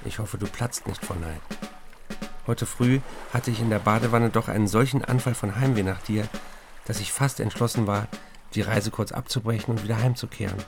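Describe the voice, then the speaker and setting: A self-assured writer speaking his letters home in a radio drama. His voice is low, upbeat and confiding, with clear percussive music underneath. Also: moderate pace. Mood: fond, teasing. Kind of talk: radio play, letters read aloud.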